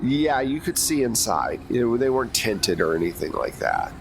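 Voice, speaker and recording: A man narrating as game master, describing scenes in a relaxed, unhurried way and answering players' questions. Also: medium pitch, gravelly, slight background noise. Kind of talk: narrating a tabletop horror game.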